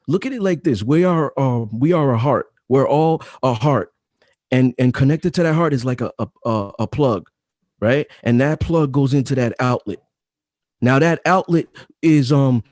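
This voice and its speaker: A man with a deep, mellow, dark voice talks as a guest in a casual interview. He is emphatic and slangy and repeats phrases for weight. His voice sounds dry and close. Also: dry recording. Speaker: author and guest. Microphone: home mic setup.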